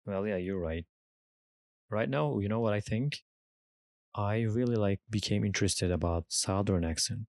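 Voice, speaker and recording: Very deep-voiced woman, dry and close. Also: moderate pace, long pauses, no room sound. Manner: brief, soft-spoken replies and interjections. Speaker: podcast co-host. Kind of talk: casual podcast conversation.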